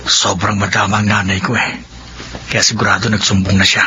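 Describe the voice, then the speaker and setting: A man's voice: lowish voice, little pitch movement, a little fast, pushed and bright, highs cut off, slight background noise. A man playing a resentful, wayward young son with a Filipino accent in a radio drama. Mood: uneasy, brooding.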